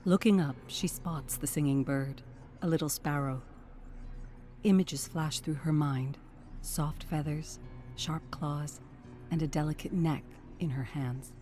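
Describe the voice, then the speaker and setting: Woman, low mellow voice, dramatic scripted narration, solemn, deliberate, faint music behind. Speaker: story narrator.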